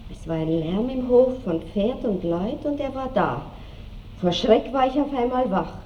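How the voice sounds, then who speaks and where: A woman's voice: a little high, lively intonation, measured pace, warm tone. An actress playing an aristocratic married lady in a radio play, tender, teasing and commanding toward her lover, refined and theatrical. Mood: wistful, uneasy. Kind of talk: mid-century German radio play acting. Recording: echoey, muffled old radio recording with hiss.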